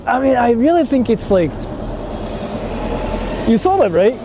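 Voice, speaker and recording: High voiced guy streaming outside, slangy and sweary, pitch jumps around, traffic noise behind. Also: very animated, a little slow. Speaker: livestreamer. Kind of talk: casual livestream chat while out in the city. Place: outdoors on busy city streets. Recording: noisy outdoor street with traffic. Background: cars and urban street noise.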